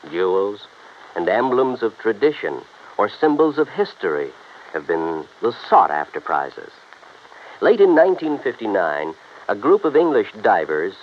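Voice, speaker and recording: A male announcer reads scripted narration in old-time radio style. He is measured and earnest with expressive pitch movement, on a dull recording with faint noise.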